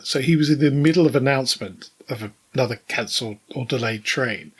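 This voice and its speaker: A mature British man with a slightly husky voice chats as a podcast co-host. He tells stories at a measured, conversational pace of thought, dropping in wry asides. His pitch is average for a man. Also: a bit bright. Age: middle-aged or older. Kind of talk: casual podcast chat with anecdotes.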